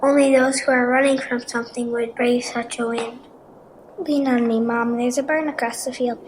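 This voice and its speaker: A woman's voice: on the high side, steady tone, normal speed, background noise, pitched above the performer's own voice, close to an everyday voice. A female reader voicing a determined, anxious young girl in a storybook, with urgent, emphatic delivery. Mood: wary. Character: Jo, determined anxious girl protecting her family. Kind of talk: storybook character dialogue.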